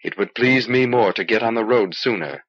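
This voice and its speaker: A man's voice: fairly quick, a bit bright, breathy edge, no echo, muffled recording. A man voicing a wary, earnest juggler pledged to his lord in an audiobook, speaking tensely, on an old cassette transfer. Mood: aggrieved. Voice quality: low voice.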